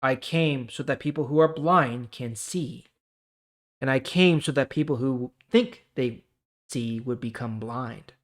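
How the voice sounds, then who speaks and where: A man voicing a calm, authoritative male teacher in a measured, solemn Bible story reading. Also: medium pitch, close to an everyday voice. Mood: calm authority.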